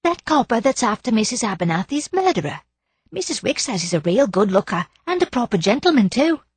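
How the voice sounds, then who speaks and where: A woman's voice: a little high, big pitch swings, fairly quick, breathy edge, no echo, pitched above the performer's own voice. A woman voicing a gossipy working-class older charwoman who chatters in broken, homely grammar. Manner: chatty, ungrammatical dialect speech.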